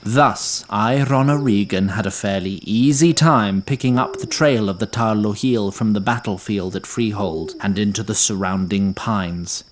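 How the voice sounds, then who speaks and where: A man reading scripted fantasy narration in a measured, literary, dryly wry manner. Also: fairly low pitch. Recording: faint ambient noise.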